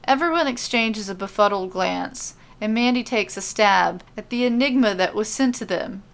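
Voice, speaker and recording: Creaky-voiced woman narrating a story. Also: mid-range voice, animated, normal speed, fairly bright tone.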